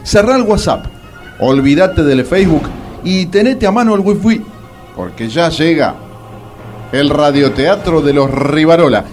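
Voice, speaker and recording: A man's voice: on the high side, lively intonation, a little slow, darkish tone. A man playing the teasing, theatrical narrator of a comic radio serial, with a dramatic announcer delivery. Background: music behind the voice.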